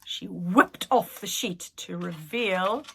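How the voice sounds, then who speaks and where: A woman reading a children's story aloud in an expressive, animated and dramatic manner. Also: lowish voice, takes it slowly, vocal fry, a little hiss or hum. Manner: animated, dramatic, with whispered suspense. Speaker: reader, school staff member.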